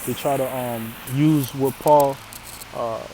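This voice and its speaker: A man's voice: medium pitch, steady tone, slow, background noise. A man from Canada whose first language is Arabic, teaching scripture informally and often checking in with "right?". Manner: casual, repeats "right?" often, restarts phrases. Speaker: religious teacher.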